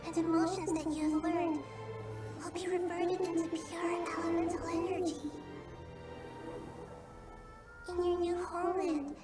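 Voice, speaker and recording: A woman voicing a gentle, childlike little nature goddess in a video game, calm, earnest and measured. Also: very high-pitched, echoey room, distant mic. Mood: solemn. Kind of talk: scripted video game voice acting.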